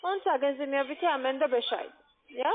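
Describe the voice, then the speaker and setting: A high female voice with a foreign accent comes down a narrow, muffled phone line. She is a call center agent who walks a customer through steps, pressing and repeating her requests. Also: moderate pace. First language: not German. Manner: insistent, repetitive. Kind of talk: phone sales instructions.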